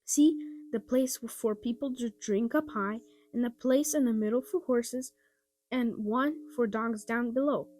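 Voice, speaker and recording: A woman's voice: on the high side, a little slow, a little mellow. A woman voicing a responsible, cheerful older brother in a children's storybook, reassuring and instructing. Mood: bright, pleased.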